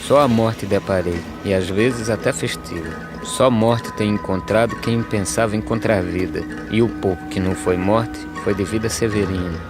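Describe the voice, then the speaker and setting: Actor as deep-voiced weary Brazilian migrant farmhand. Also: male voice, normal speed, hardly any pauses, natural voice. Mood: weary, pondering. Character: weary humble male migrant farm labourer, earnest. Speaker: narrator and lead character of animated verse drama. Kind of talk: dramatic verse recitation. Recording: music under the voice.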